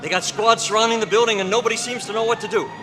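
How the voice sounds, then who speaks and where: A man's voice: high-pitched, steady tone, rapid-fire, natural voice. A man playing an agitated newspaper reporter in an old-time radio drama, urgent and pleading, as if phoning in a story, recorded live. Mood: urgent alarm. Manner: urgent, insistent, pleading, as if on telephone. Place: hotel convention meeting room, live performance. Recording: noisy live convention room recording.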